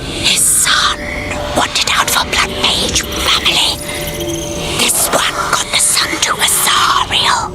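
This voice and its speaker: A woman voicing the anguished, secretive spirit of a murdered rebel in a video game, breathy and whispery, speaking in cryptic halting phrases. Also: natural voice. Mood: urgent, pleading. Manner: halting, cryptic, third-person speech. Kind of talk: dramatic video game acting. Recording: loud music behind.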